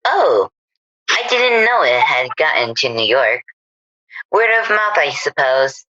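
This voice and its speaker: Woman doing chatty housewife friend in a radio drama, low but bright, breezy and slow. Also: big pitch swings, no echo, dull audio, exaggerated voice. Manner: gossipy, breezy. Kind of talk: radio play acting.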